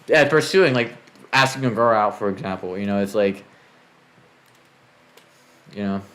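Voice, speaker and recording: Podcast host, rambling and loose, swears often, filler-heavy delivery. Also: male voice, fairly low pitch, normal speed, a bit bright. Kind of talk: casual podcast chat.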